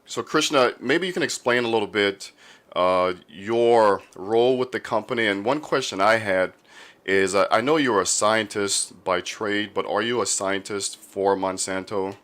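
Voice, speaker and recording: A man's voice: on the low side, normal speed, fairly bright tone. A man hosting a podcast in a casual, laid-back, informal interview style. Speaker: podcast host.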